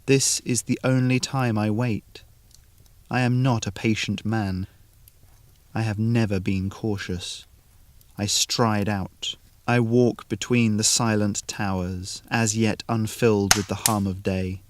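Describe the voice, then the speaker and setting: Low-voiced man reading short fiction. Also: steady tone, takes it slowly, no echo. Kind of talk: scripted story narration.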